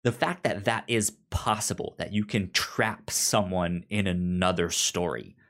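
A man with a fairly low voice talks through a book casually as a podcast co-host. He takes his time, speaking slowly and often stammering or restarting words as he goes. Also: fairly bright tone.

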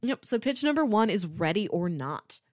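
A woman co-hosting a podcast in a casual, informal chat, describing things in a conversational and animated way. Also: medium pitch, normal speed, no room sound, studio quality. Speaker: podcast co-host. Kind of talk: casual chat, pitching movies.

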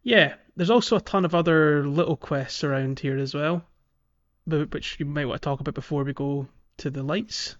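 A man co-hosting a casual gaming podcast, explaining in a halting way. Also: on the high side, highs cut off. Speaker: podcast co-host. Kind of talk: casual chat about a video game playthrough.

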